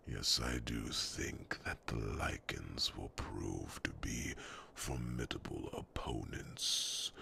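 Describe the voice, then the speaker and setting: A man voicing a huge, wise old dragon in a calm ASMR fantasy roleplay, speaking solemnly in a gravelly, breathy half-whisper with pondering hums. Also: extremely low pitch, slight background noise, heavily acted. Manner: slow, solemn, formal phrasing with pondering hums.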